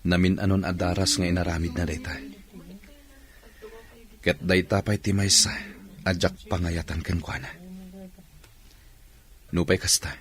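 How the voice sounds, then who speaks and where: A man's voice: deep voice, a little hiss or hum. A man acting a sober, newly widowed husband in a Filipino radio drama, with a Filipino accent.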